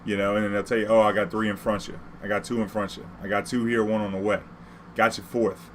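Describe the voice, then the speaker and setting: A man's voice: fairly low pitch, little pitch movement, fast, fairly bright tone, a little hiss or hum. A man from New Orleans with an American accent, telling personal stories casually in profane street slang, acting out the dialogue.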